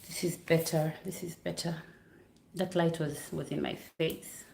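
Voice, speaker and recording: A woman's voice: on the low side, reverberant. A woman from Uganda chatting casually on a livestream from her living room, apologetic and easily distracted. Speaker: vlogger hosting a livestream. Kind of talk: casual chat to a live audience. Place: home living room near a Christmas tree. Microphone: computer setup for a livestream.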